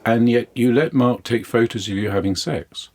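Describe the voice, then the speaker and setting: An actor playing a measured, probing therapist in an audio drama, asking leading questions. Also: male voice, medium pitch, darkish tone, close to an everyday voice.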